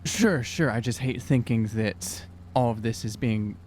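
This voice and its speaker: A man talks in character during a tabletop roleplaying game. He is halting and exasperated, circling back on his words, in a mid-range voice over a slight hum. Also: mid-range voice, a little mellow. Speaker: player in an actual-play podcast.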